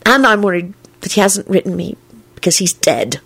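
A woman's voice: mid-range voice, expressive, moderate pace, creaky, breathy edge, dry recording. Woman playing a worried, small-voiced woman. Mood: miserable. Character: worried, miserable, loyal private woman. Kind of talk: audiobook character dialogue.

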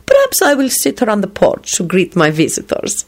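A woman's voice: mid-range voice, huge pitch swings, moderate pace, pitched above the performer's own voice. A woman voicing a cold, haughty male vampire lord in a story, imperious and formal, with petulant indignation. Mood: complacent.

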